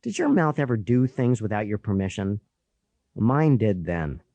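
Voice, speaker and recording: Animated male children's audiobook narrator, lively pitch. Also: normal speed, gravelly, dry recording, highs cut off. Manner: animated, boyish storytelling. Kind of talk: scripted first-person narration of a children's story.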